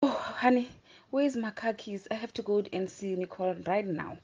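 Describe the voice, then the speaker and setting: Anxious, determined wife played by an actress, mellow mid-range voice, audio drama. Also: female voice, medium pitch, moderate pace, muffled recording, slight background noise, close to an everyday voice. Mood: urgent, insistent.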